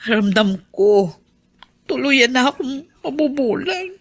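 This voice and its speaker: A woman's voice: high-pitched, lively intonation, takes it slowly, heavily acted. An actress playing a middle-aged Filipino mother who is losing her sight, resigned and self-sacrificing, in radio drama style. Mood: resigned, sad.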